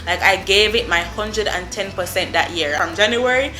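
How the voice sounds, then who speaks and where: A woman's voice: mid-range voice, moderate pace, roomy sound, soft background music, poor audio. A young woman from Jamaica with a Jamaican accent, telling a story to camera in an effusive, chatty way. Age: young adult. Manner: effusive, animated, lots of "I mean" and "like". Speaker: vlogger. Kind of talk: casual storytime.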